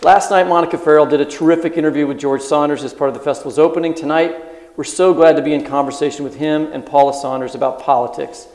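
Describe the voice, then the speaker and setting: A man's voice: fairly high pitch, fairly even intonation, quick pace, darkish tone. A man co-hosting a live podcast taping, reading a scripted introduction and then asking loose conversational questions. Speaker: podcast co-host. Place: stage at a live book festival event. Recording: roomy hall sound at a live event.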